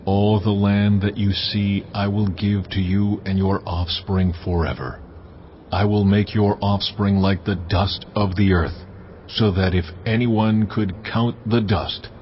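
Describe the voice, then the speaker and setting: Guy doing god talking, super slow and deep, kinda muffled lo-fi sound. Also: slight background noise. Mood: solemn. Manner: slow, deliberate, commanding. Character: God speaking to Abram, majestic and solemn. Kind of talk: dramatized scripture reading. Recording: dull lo-fi audio.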